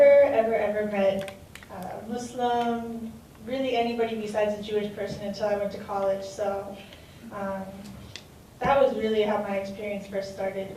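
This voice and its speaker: A woman on a panel telling her story in a relaxed, chatty way. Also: medium pitch, a little hiss or hum. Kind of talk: personal testimony. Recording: very echoey hall, far mic.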